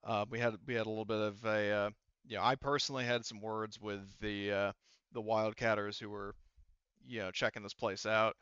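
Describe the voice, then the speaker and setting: Halting male game master, smooth and close. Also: on the low side, level tone, fairly bright tone, no room sound, muffled recording. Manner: halting, rambling, casual. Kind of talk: improvised tabletop roleplaying narration.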